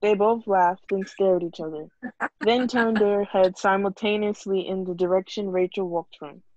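A woman's voice: medium pitch, fairly even intonation, normal speed, mellow, no room sound. A woman narrating a script at a table read, reading out stage directions over a video call. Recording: dull lo-fi video call audio.

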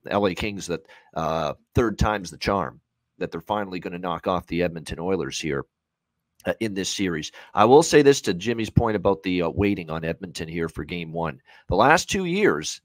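A man's voice: low-pitched, dry recording. A man in his thirties giving unscripted sports betting commentary as an analyst, emphatic and repeating his points. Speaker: sports betting analyst on a hockey preview show. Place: small home room, indoors, on a video call.

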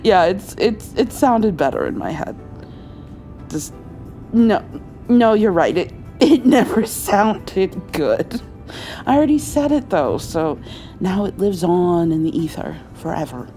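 A woman playing a caring, playful mother in an intimate ASMR roleplay, soothing her grown child with gentle, halting speech and endearments. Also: mid-range voice, big pitch swings, faint music behind. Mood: wry, affectionate. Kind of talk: intimate comfort ASMR roleplay, acted as one side of a conversation.

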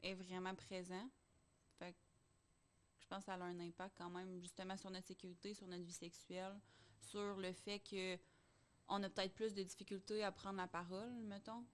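A young woman with a medium-pitched voice and a Quebec French accent. She speaks reflectively and a little tentatively, as a panelist in casual discussion. The microphone is close. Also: level tone, moderate pace. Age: young adult. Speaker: podcast panelist. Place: small podcast studio. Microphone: close studio microphone on boom arm.

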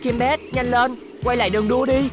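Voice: mid-range voice, steady tone, brisk, over music, close to an everyday voice. An actor playing a brave, earnest young robot boy in a Vietnamese cartoon dub. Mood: urgent.

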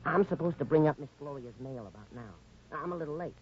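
A man's voice: on the high side, animated, a little fast, a little mellow, natural voice. An American man playing an eager, smitten, bumbling young bellboy, stammering in a 1940s radio comedy. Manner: stammering, flustered. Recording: muffled, hissy 1940s radio recording.

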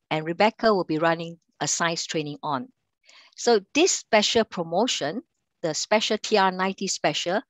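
A woman's voice: medium pitch, no room sound. Singaporean woman giving online sales training. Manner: halting delivery with frequent fillers and restarts, quizzing the audience. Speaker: account manager and sales trainer.

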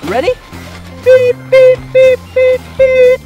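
A man's voice: very high voice, expressive, takes it slowly. A man presenting playfully for toddlers, exclaiming in an exaggerated, wide-eyed way and drawing out words. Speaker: children's show host. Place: indoor and outdoor play areas of a children's museum. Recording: music behind the voice.

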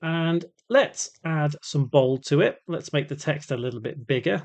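A man presenting a casual step-by-step software tutorial, explaining and thinking aloud as he works. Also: medium pitch. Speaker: tutorial host.

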